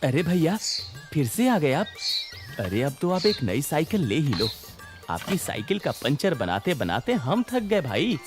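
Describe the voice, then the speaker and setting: A man's voice: a little high, very animated, measured pace, over music. A man voicing a friendly, teasing village bicycle repairman in a children's cartoon story, chatty and familiar. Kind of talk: animated children's moral story dialogue.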